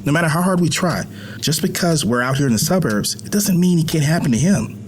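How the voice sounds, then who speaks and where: A man's voice: a little high, lively intonation, brisk, slight background noise, close to an everyday voice. A middle-aged man playing a protective, anxious American father in a naturalistic drama, earnest and pleading. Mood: earnest worry.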